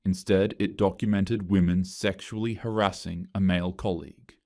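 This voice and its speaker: A man voicing a calm, pointed male coworker confronting an HR manager. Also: deep voice, moderate pace. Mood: cold, pointed.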